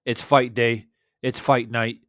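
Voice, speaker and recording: A man in his thirties with a hoarse voice, hosting a casual boxing livestream from a small room at home, shouting out viewers in the chat. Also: mid-range voice, flat delivery, slow, no room sound, clean studio sound. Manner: laid-back, shouting out chat viewers, swears freely. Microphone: studio desk mic with headphones.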